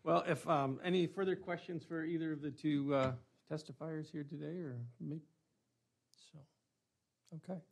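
A man's voice: on the high side, fairly even intonation, creaky. A man chairing a city advisory board meeting, thanking speakers courteously and moving to the next item. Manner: courteous, brisk procedural talk.

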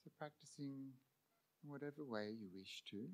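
A man who teaches meditation, guiding a sitting practice calmly and gently, in short phrases with long pauses. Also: medium pitch, poor audio. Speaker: meditation teacher, Buddhist monk. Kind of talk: guided meditation.

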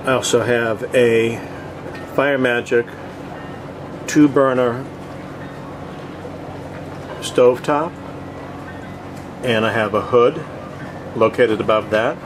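A man giving a casual video tour in short, halting, plainspoken phrases, recorded outdoors on a boat deck with a handheld camera. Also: medium pitch. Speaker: host of a cooking vlog. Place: open deck of a boat on the water. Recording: outdoor ambient noise.

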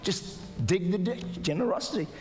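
An older man preaching a sermon as pastor, exhorting the congregation, sometimes shouting and mimicking doubters in a higher voice. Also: animated, moderate pace. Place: large church auditorium with stage. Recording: live church hall reverb with music. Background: soft music and congregation responses.

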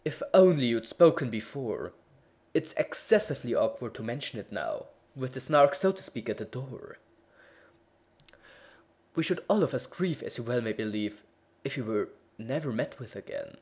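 A man with a husky voice voicing an impatient, bossy ship's bellman who exclaims loudly and hastily, in a storybook reading. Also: medium pitch, sing-song, normal speed. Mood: indignant. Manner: loud and hasty, exclaiming.

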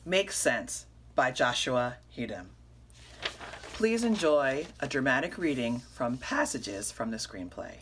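A woman's voice: very deep voice, big pitch swings, slow pace, fairly bright tone, slight background noise. A middle-aged woman presenting awards in a scripted announcement style, reading out nominees and winners. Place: indoors, in front of a curtain.

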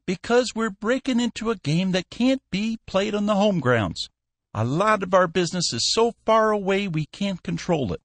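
A man voicing a cantankerous, bossy old shipping tycoon in an audiobook, shrill, scolding and full of bluster. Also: expressive, normal speed, dry recording. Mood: irate, sarcastic.

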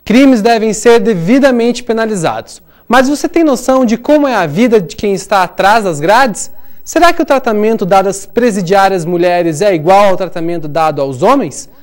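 A man's voice: sits high, expressive, normal speed. A young man presents the news from a studio, speaking straight to camera. Faint music sits under him. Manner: addresses the viewer directly.

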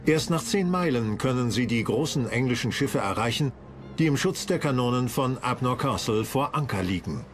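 A man's voice: medium pitch, animated, moderate pace. Male documentary narrator: scripted history read, mid-range, light music underneath.

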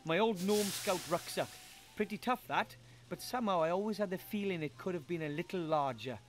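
A man's voice: high-pitched, a little fast, soft background music, lightly acted. An actor playing an eager, polite young male gnome hero in a game, chatty and curious.

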